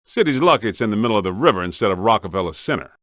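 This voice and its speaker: A man's voice: fairly low pitch, expressive, racing, a bit bright, no echo, clean studio sound. A man playing a streetwise, wisecracking ghost hunter in a video game, speaking in a colloquial, wry, plain-spoken way. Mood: dry, skeptical. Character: streetwise, wisecracking male ghost-hunting team member.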